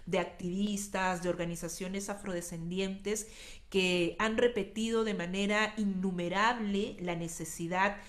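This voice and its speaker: A woman from Peru gives documentary testimony in a firm, earnest voice. Her pitch sits in the middle. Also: steady tone, a little slow. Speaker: interviewee.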